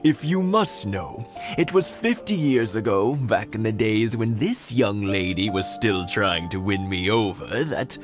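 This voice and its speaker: Brief: a gentle older husband, low-set voice, soft storyteller, orchestral score behind. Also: male voice, animated. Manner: warm, unhurried storytelling with soft chuckles. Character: kindly old husband, gentle and nostalgic. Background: game music.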